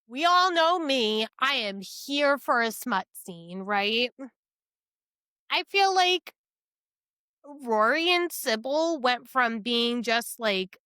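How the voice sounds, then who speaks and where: A woman's voice: fairly high pitch, bright, forceful tone, smooth, no room sound, studio quality. A woman co-hosting a podcast, chatting casually about books in a blunt, emphatic way with some swearing. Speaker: podcast co-host. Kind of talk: casual book review chat.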